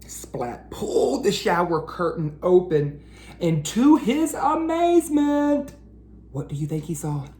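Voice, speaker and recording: Slow-paced man reading aloud to children. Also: sits high, big pitch swings, darkish tone, a little hiss or hum. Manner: addresses children directly with questions, drawn-out emphasis. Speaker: read-aloud host for children. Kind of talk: children's picture-book read-aloud and chat.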